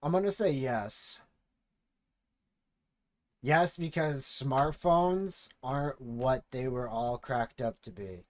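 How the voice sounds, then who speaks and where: A man's voice: medium pitch, takes it slowly, long pauses. A man in his late twenties, streaming and reacting to a video, chatting casually, thinking aloud and swearing. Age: late 20s.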